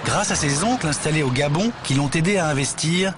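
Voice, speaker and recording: A man's voice: on the high side, brisk, background noise. A man reading scripted narration as the narrator of a documentary. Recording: music behind the voice. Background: music.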